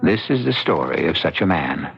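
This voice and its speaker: Man, dramatic scripted narration, pointed pauses, dull vintage radio sound, music behind. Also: a bass voice, very animated, vocal fry. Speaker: host. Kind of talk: theatrical scripted narration for old-time radio mystery.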